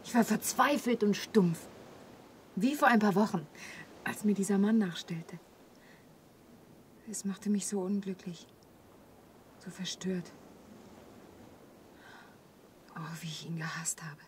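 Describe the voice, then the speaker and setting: A woman's voice: medium pitch, measured pace, long pauses, close to an everyday voice. An actress playing a wistful, vulnerable young woman in a German radio play, hesitant and pensive in her delivery. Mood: troubled, wounded. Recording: clearly audible background ambience. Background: ambience noise.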